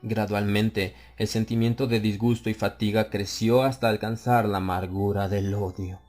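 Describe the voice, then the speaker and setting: Brooding male audiobook narrator over soft music. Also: on the low side, flat delivery. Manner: slow, brooding confessional delivery that rises to anguished outcry. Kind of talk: dramatic audiobook reading of a horror tale.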